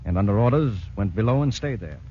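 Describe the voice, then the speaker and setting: A man's voice: lowish voice, lively intonation, fast, noisy background, lightly acted. An actor playing a commando soldier who narrates his training days in a 1940s radio drama. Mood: measured, reminiscing. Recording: muffled 1940s radio broadcast, music behind.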